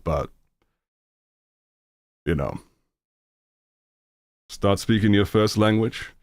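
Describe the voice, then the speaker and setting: Deep-voiced Finnish man, blunt and rambling. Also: no room sound, clean studio sound. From Finland. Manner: rambling, halting with many fillers, blunt and profane. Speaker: streamer reacting to videos. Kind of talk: casual reaction commentary.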